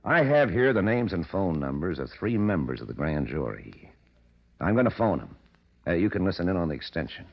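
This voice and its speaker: An actor playing a scheming, ambitious blackmailer in a 1940s radio drama, with an insinuating, self-assured manner. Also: male voice, sits low, very animated, brisk, gravelly, slightly rough, heavily acted. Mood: brisk, confident. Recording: muffled vintage radio recording.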